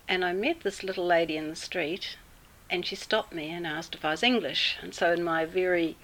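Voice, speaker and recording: A woman with a New Zealand accent telling a personal story in an interview, unhurried and full of pauses. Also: on the low side, fairly even intonation, fairly bright tone, low-quality recording. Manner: unhurried, with many fillers and pauses. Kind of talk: casual storytelling.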